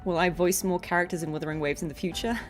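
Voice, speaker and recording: A woman's voice: on the low side, steady tone, fast talker. A woman chatting casually and informally to viewers while livestreaming a game. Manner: chatty, informal, chuckles easily. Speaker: voice actress livestreaming gameplay. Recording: faint game music behind voice. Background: faint game music.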